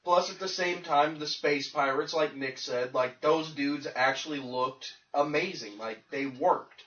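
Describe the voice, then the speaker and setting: A man's voice: a little high, a little slow, fairly bright tone, recorded from a distance. A man co-hosting a casual chat show, rambling with lots of "like" as he argues his point. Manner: rambling, filler-heavy, defensive. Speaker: podcast co-host. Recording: dull, echoey sound from off the mic.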